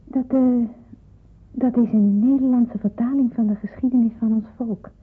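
An actress playing an earnest, devout young woman in wartime hiding in a radio drama, with a husky, breathy voice. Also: fairly high pitch, fairly even intonation, normal speed, no room sound. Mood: cautious. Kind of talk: radio drama acting with narrated monologue. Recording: muffled, phone-like radio play recording.

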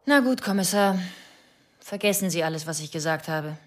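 An actress playing a suspicious, bitter wife who narrates and speaks in a radio drama. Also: female voice, on the low side, expressive, normal speed, soft background music, close to an everyday voice. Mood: bitter, defeated. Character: suspicious, bitter wife reporting her husband.

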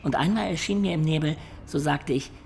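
An actor playing an imaginative peasant-born man who looks back on his life in a German radio play, in reflective, expressive storytelling. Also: a little high, fairly quick, vocal fry, a little hiss or hum.

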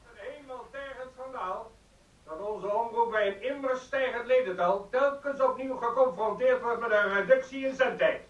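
An actor in a radio drama playing a pompous, indignant broadcasting official declaiming a speech. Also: male voice, very high-pitched, moderate pace. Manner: pompous, oratorical. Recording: muffled, lo-fi radio playback.

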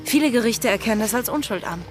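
A woman's voice: on the high side, animated, fast, lightly acted. An actress playing a haunted, resolute female pirate in a German radio drama, intense and brooding. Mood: resolute, pained. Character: Anne Bonny, wanted female pirate, haunted, resolute lover. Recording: studio radio play with prominent music.

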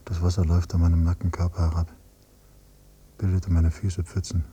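A man acting as a grieving, quietly bitter adult son in a radio drama, speaking in a sombre, measured way. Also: deep voice, monotone, natural voice. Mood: numb, sombre. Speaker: first-person narrator and character. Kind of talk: literary radio drama monologue and dialogue. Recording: noisy hummy cassette copy of radio play.

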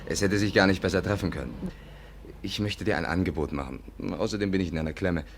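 An actor playing a cheeky, persuasive private detective in a 1950s German radio play, breezy and cajoling. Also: male voice, sits low, fast talker, slight background noise, lightly acted. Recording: 1950s radio recording, music behind.

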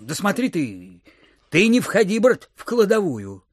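An actor playing a miserly, suspicious old landowner in an audio drama, muttering and repeating his words in a querulous, husky voice. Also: high voice, wildly swooping pitch, unhurried, no room sound, clean studio sound, full character voice. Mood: scolding, bossy. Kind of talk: Russian audio drama acting.

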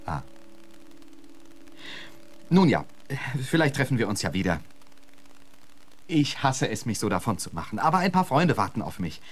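An actor playing an urbane, witty poet in a radio play, suave and flowery with a dry humour. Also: male voice, fairly low pitch, big pitch swings, moderate pace, long pauses. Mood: polite, careful. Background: music.

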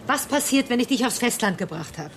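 A woman's voice: a little high, expressive, fairly quick, natural voice. Actress as a coolly defiant woman, faint noise. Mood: cool, challenging. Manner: controlled, reasoning, sardonic. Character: guilt-laden, coolly defiant woman facing down an armed man. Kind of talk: German radio drama acting.

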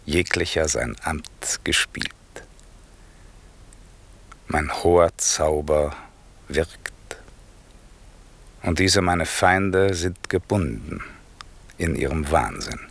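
A man's voice: rumbling low, creaky, a bit bright, slightly rough, heavily acted. An actor playing a stern, commanding old magician and father in a radio play, speaking solemn, declamatory verse. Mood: solemn triumph.

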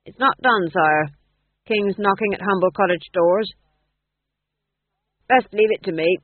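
A man voicing a loud, eager sergeant in an audiobook, blunt and deferential to his superior. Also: high-pitched, unhurried, dry recording, narrow, tinny audio. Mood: dutiful, blunt. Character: loud, eager, blundering male royal sergeant.

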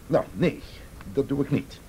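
A man's voice: mid-range voice, dark tone, a little hiss or hum, low-quality recording. An actor in a radio play voicing a defensive businessman, indignant and evasive, stammering under pressure. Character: defensive, blustering businessman, a stepfather accused by police. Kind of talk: naturalistic radio play acting.

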